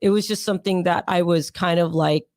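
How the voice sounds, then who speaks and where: A young adult woman, hoarse and raspy from sinus pressure, chatting as an interview guest in a rambling way with many fillers. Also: fairly low pitch, fairly even intonation, brisk, darkish tone, no echo, clean studio sound. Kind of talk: casual interview storytelling.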